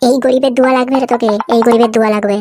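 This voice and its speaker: A woman's voice: a little high, steady tone, racing, heavy background noise, natural voice. A woman voicing a poor, foul-mouthed village lad in a slapstick cartoon, scrappy and indignant as he curses. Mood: furious cursing. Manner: scrappy, cursing, aggrieved. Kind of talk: crude slapstick comedy cartoon dubbing.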